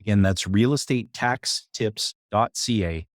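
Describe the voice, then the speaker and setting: Man, podcast co-host, upbeat, encouraging, lower voice, dry recording. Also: measured pace, dry recording. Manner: upbeat, encouraging, salesy plugs. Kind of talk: casual chat and show promotion.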